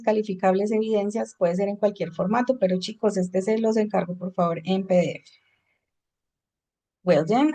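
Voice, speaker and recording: A woman's voice: medium pitch, warm tone. Woman teaching an English class. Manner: explains in Spanish, switches to English for examples. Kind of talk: online class lecture.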